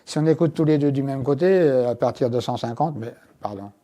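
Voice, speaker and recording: A man's voice: medium pitch, a little fast, mellow. A man presenting a product as a guest, explaining it hands-on and step by step with small asides. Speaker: inventor and guest demonstrating his product. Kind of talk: hands-on product demonstration in an interview.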